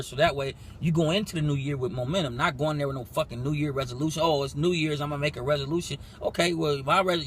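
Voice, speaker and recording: A man in his twenties, a music producer giving a casual, profane motivational pitch, possibly recorded in a car. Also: a little hiss or hum. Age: 20s. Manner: animated, profane, rapid slangy talk.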